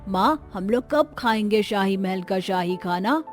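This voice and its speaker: A woman's voice: mid-range voice, lively intonation, measured pace, soft background music, natural voice. A woman voicing a poor, hungry child in a cartoon, whining and plaintive. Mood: longing. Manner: plaintive, whiny. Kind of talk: cartoon voice acting.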